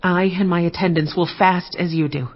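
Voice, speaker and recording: An actress playing a grave, resolute queen in a dramatized scripture production, speaking in an earnest, measured way. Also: lowish voice, fairly even intonation, lo-fi sound.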